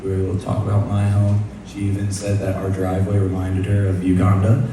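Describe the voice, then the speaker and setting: Low-voiced frontman on a concert stage. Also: monotone, noisy background. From Nashville, USA. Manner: rambling, self-deprecating, halting with restarts. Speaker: band frontman. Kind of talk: onstage storytelling between songs. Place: large concert club stage. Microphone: stage vocal mic through PA. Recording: echoey live concert hall from far off.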